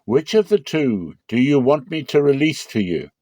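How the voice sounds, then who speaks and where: A man's voice: medium pitch, very animated, normal speed, mellow, no echo, studio quality, voice pushed up. A man voicing a weary Roman governor who protests in a raised voice. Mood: questioning.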